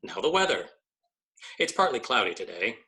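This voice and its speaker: A man plays a serious cartoon news anchor bird. His voice is lowish with a very sharp, forceful edge, reading formally for a comedy radio show. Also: sing-song, normal speed.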